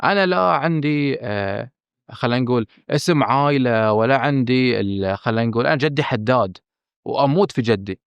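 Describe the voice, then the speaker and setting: A man with a Bahraini accent, a film director speaking candidly as a podcast guest, emphatic and earnest, often pausing on fillers and restarting. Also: medium pitch, animated, smooth, no room sound, dull audio. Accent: Bahraini Arabic. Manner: earnest and emphatic, stressing his frankness, with hesitant fillers and restarts.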